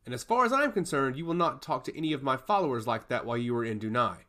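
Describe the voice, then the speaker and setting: A proud god of chaos, voiced by a male narrator, talks with animated pitch. He is blunt, commanding and protective of his followers in a fantasy tale. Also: fast, natural voice. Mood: protective, firm. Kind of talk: fantasy audio story dialogue.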